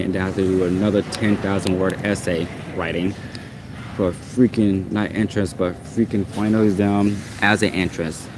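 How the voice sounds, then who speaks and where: Deep-voiced man ranting over traffic noise. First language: Portuguese. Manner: exasperated, rambling, repeats himself. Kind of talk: casual ranting monologue.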